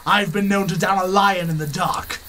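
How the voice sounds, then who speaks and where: Man playing a high-voiced pompous adventurer, boastful, theatrical, old radio serial. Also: steady tone, normal speed, over a noisy crowd, natural voice. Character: arrogant, scheming male explorer. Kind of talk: 1930s radio serial acting.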